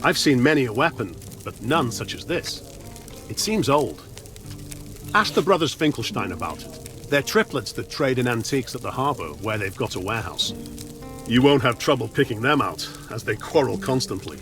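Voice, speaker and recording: An actor playing a humble, helpful innkeeper in a medieval video game, speaking deferentially. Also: male voice, medium pitch, expressive, moderate pace, a bit bright, soft background music. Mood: helpful, explaining.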